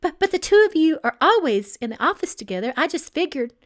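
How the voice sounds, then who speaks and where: A woman's voice: high voice, big pitch swings, brisk, fairly bright tone, pitched above the performer's own voice. A woman reading a story aloud, voicing a pampered young bride-to-be who is nervous, pleading and manipulative. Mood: flustered pleading. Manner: flustered, stammering, pleading.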